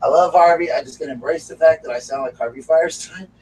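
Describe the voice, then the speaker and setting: A raspy-voiced man with a Southern US accent chatting casually as the host of a live stream, now and then breaking off to call his dogs. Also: on the high side, fast, a little mellow. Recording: far from the mic with faint background noise.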